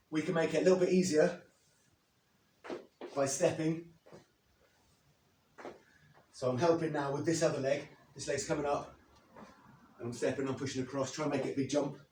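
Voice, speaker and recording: A man in his thirties, slightly hoarse after losing his voice, explaining and demonstrating leg exercises step by step as a fitness coach. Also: fairly high pitch, a little mellow, slight background noise. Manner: plain, step-by-step coaching while demonstrating moves. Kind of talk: instructional workout demo. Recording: echoey, from a distance.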